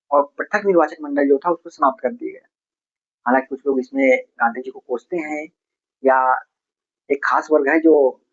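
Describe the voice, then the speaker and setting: A man's voice: fairly high pitch, creaky, breathy edge, lo-fi sound. A man teaches an exam lesson in question-and-answer form, explaining each answer in a didactic way. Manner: didactic, explanatory, addresses listeners as friends. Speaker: history teacher for exam preparation.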